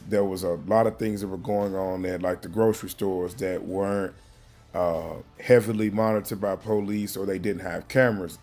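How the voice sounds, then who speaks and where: A man's voice: on the low side, darkish tone. A man giving informal, earnest news commentary as a host, speaking emphatically and repeating words to drive his point home.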